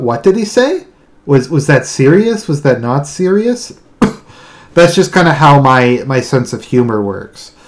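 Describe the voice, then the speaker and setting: A man with a deadpan delivery speaks casually in a solo monologue, often repeating words and restarting his sentences. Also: mid-range voice. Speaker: online video commentator. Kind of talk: casual monologue explaining own humour.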